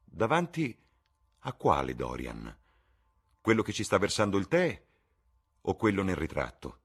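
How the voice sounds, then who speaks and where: A man voicing a witty, languid aristocratic gentleman in an audiobook, airy and mocking, with a husky voice. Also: deep voice, wildly swooping pitch, unhurried, voice pushed up, full character voice. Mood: teasing. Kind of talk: audiobook character voice.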